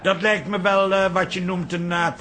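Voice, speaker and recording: A man's voice: sits high, level tone, normal speed, sharp tone. An actor in a Dutch radio comedy playing a wry family man who mocks the others with sarcasm. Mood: sarcastic. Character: sardonic, dryly mocking family man.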